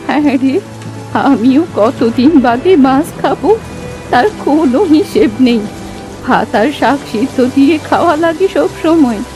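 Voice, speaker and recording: A woman's voice: high voice, a little slow, music in the background, low-quality recording. A woman voicing a kindly, lonely old village woman, plaintive and motherly, in a cartoon folk tale. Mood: grateful.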